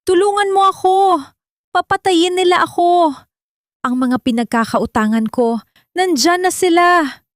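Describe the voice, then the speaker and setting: A woman's voice: extremely high pitch, lively intonation, unhurried, dry recording, studio quality, voice pushed up. A woman voicing a desperate younger brother in an audio drama, frantically pleading for help in a cracked voice. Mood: desperate, terrified.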